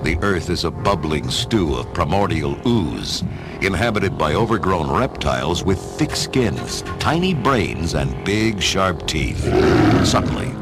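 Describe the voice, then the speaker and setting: A man giving dramatic, measured scripted narration for an audio story, in a theatrical storytelling manner. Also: deep voice, sing-song. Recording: music under the narration. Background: music.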